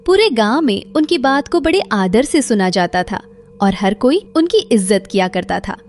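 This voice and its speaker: A woman's voice: a little high, sing-song, normal speed, a little mellow. A woman reading scripted narration for a moral story.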